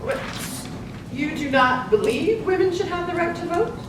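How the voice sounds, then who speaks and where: A woman playing an earnest, determined young newspaper reporter of the suffrage era in a staged reading, speaking deliberately and emphatically. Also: animated. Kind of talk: live staged play reading. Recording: echoey hall from far off, noisy rumble.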